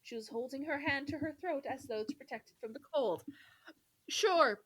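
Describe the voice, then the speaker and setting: A woman's voice: high-pitched, moderate pace, a bit bright, poor audio. A woman co-hosting a podcast, chatting casually as a fan, with theatrical mock indignation and playful sarcasm. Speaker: podcast co-host. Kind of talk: casual fan chat about a classic novel.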